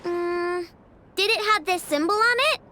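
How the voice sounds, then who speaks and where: A woman voicing a young girl inventor in a video game, excitable, emphatic and fiercely protective. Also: extremely high pitch, a little slow, heavily acted. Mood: earnest. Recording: clear background noise.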